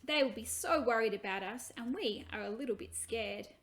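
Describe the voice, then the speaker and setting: A woman's voice: a little high, moderate pace, fairly bright tone, a little hiss or hum. A woman voicing a thoughtful young boy in a children's story, earnest and matter-of-fact.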